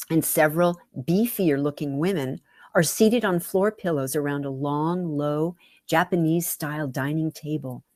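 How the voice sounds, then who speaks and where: A woman reading her own first-person story aloud with wry, deliberate, dramatic phrasing. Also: sits low, dry recording, clean studio sound.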